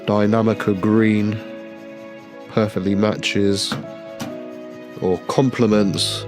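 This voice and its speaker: A man with a cold and a slightly nasal voice, casually narrating a workshop vlog about a glasses repair. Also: deep voice. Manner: relaxed, enthusiastic product talk with fillers. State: has a cold. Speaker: optician showing a frame job.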